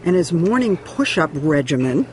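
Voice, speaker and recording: A woman's voice: low-pitched, big pitch swings, a little hiss or hum, poor audio. Woman narrating a news feature. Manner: measured, deliberate. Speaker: news correspondent. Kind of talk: scripted narration.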